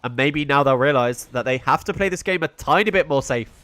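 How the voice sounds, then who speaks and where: A man's voice: mid-range voice, brisk, a bit bright, no room sound. A young British man giving solo play-by-play commentary on a live esports stream, chatting with his viewers between plays. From Britain. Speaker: esports caster.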